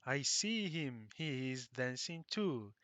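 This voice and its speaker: A man voicing an excitable, earnest king in a children's storybook. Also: mid-range voice, slow, no room sound.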